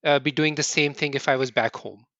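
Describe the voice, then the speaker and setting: Earnest Indian-born man, slightly high voice. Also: steady tone, sharp tone, dry recording, lo-fi sound. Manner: earnest, quick bursts with repeated restarts and "right?" tags. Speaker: podcast guest. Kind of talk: conversational interview answers.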